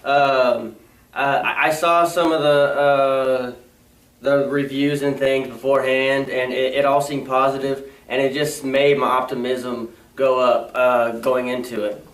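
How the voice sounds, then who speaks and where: A young man co-hosting a casual movie review, talking haltingly with many fillers and restarts. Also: medium pitch, level tone. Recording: echoey room, mic far off.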